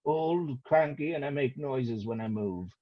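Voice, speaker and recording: A man playing a cranky, boastful ageing British actor in a script table read, with a husky voice and indignant exclamations. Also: medium pitch, measured pace, muffled recording, close to an everyday voice. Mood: wry.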